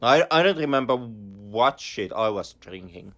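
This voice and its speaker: A man from Finland with a Finnish accent, co-hosting a podcast and chatting in a halting way, repeating words as he searches for them. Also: medium pitch, animated, a bit bright. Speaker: podcast co-host. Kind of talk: casual chat.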